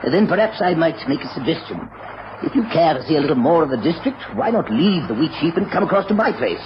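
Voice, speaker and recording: A man's voice: fairly high pitch, big pitch swings, quick pace. A man playing a cheerful, chatty retired English police superintendent turned country publican in a radio drama. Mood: eager. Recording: muffled, noisy old radio recording.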